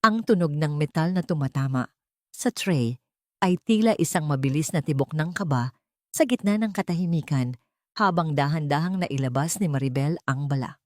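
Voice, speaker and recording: A woman's voice: sits low, lively intonation, normal speed, a little mellow, no echo, clean studio sound. A woman narrating a dramatic scripted story in a solemn, emotive way.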